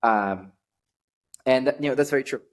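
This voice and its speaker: A man in his twenties, a guest on a podcast, telling stories in an animated way with frequent ums. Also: medium pitch, normal speed. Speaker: guest, startup founder. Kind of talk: casual podcast conversation.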